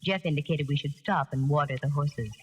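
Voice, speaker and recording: An American woman playing a wry, self-assured female private detective in a 1940s radio drama, brisk and plain-spoken. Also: low-pitched, music in the background, close to an everyday voice. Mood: wry narration. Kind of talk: 1940s radio acting with first-person narration. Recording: noisy old 1949 radio recording.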